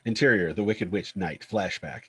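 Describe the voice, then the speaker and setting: A man's voice: on the low side, normal speed, pushed and bright, slightly rough. A man giving scripted narration, reading out the scene directions of a screenplay at a table read.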